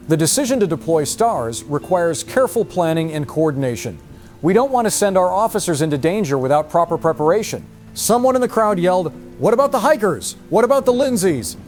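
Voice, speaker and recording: A man's voice: sits high, darkish tone. A man playing an earnest field news reporter, giving a live report with emphatic, concerned storytelling. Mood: agitated, emphatic. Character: earnest male field reporter, shaken by events.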